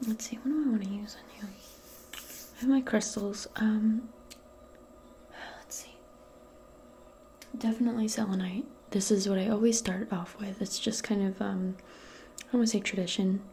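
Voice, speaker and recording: A woman speaking softly and breathily, often near a whisper, in a gentle, casual ASMR role play aimed closely at the listener. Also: mid-range voice, steady tone, normal speed, pauses often, a little hiss or hum. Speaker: ASMR performer role-playing as a modern witch. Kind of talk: soft-spoken ASMR role play, personal attention.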